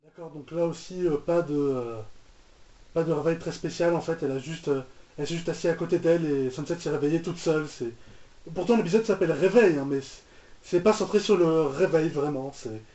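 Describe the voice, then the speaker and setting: A man gives off-the-cuff reaction commentary in a soft, mellow voice. He hesitates and often repeats himself. The sound is roomy and a little dull, like a lo-fi home microphone. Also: a little high, a little fast. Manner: hesitant, repeats words and trails off. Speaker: reaction video host. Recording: echoey room, muffled lo-fi microphone.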